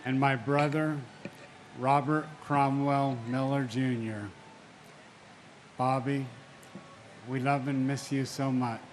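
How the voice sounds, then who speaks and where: Solemn man, unhurried reading, firm and insistent in his remarks at a memorial ceremony. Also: medium pitch, flat delivery, slight background noise. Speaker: victim's family member reading names.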